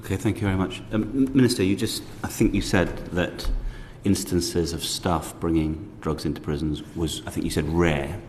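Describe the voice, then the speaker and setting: Low male committee questioner, polite and measured, reverberant hall sound. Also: fairly even intonation, darkish tone. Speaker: committee member questioning witnesses. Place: parliamentary committee room. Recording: reverberant hall with faint noise.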